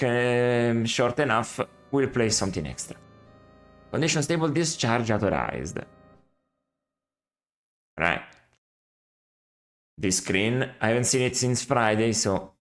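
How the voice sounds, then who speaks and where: A man's voice: mid-range voice, a bit bright. A man streaming a retro game, chatting casually and musing aloud, often correcting himself and adding critical asides.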